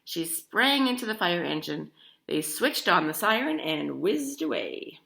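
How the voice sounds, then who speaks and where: Female storybook reader, very lively intonation, bright tone, a creaky edge, talking to children. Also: lowish voice, a little slow. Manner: animated, addresses child listeners with questions. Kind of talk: expressive read-aloud of a children's picture book.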